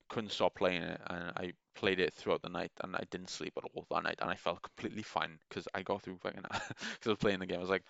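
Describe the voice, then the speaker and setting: A man's voice: sits low, a bit bright, smooth, dry recording, highs cut off. A man talking in a casual, meandering monologue, enthusiastic and full of restarts. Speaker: gaming video creator.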